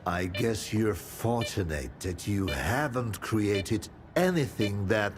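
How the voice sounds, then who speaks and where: An actor playing a laid-back, world-weary man of the wasteland in a game, offhand and unhurried. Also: fairly low pitch, expressive, noisy background. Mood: wry, consoling.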